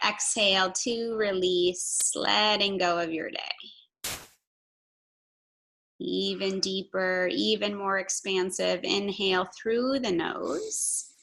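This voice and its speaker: Slow-paced woman guiding yoga, creaky voice. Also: medium pitch, a bit bright, a little hiss or hum, poor audio. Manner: soothing, measured cueing with audible breaths. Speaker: yoga instructor. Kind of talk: guided yoga and breathing instruction.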